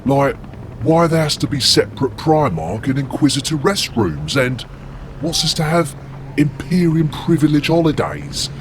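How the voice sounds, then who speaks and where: A man's voice: on the high side, moderate pace. A man voicing a laconic demigod warrior who gossips dryly. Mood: gossipy. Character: weary laddish male demigod warrior. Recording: steady background noise.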